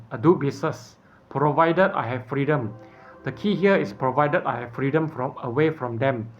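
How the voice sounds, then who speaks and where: A man from Malaysia, speaking in an earnest, halting way in an unscripted personal appeal, often stopping to search for words. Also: medium pitch, lo-fi sound.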